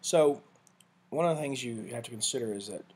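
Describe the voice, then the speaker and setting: A man giving a casual, rambling screen-share walkthrough of study software, often correcting himself mid-sentence. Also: mid-range voice, expressive, brisk, husky. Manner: rambling, self-correcting, conversational. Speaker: vlogger reviewing software.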